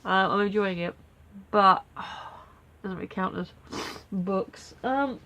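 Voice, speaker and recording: A woman talks into her camera at home, her nose stuffed up and snuffly from a cold. She rambles along casually, laughing at herself and filling gaps with ums and sighs, in a mid-pitched voice. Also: takes it slowly, sharp tone, a little hiss or hum. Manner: rambling, self-deprecating, many fillers. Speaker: vlogger. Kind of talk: casual daily video diary.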